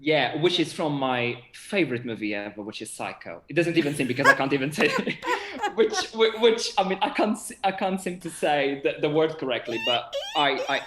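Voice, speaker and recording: A man's voice: on the high side, very animated, moderate pace, noisy background. Young man in an echoey room. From Portugal. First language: Portuguese. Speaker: theatre director being interviewed. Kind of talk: casual interview chat. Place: indoor room on a video call. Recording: roomy, echoey video-call sound.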